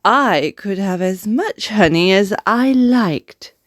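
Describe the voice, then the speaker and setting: A woman's voice: mid-range voice, animated, slow, no echo, studio quality. A woman playing an earnest, sweet young storybook bear who poses as a bee, with an eager, hesitant manner. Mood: wistful.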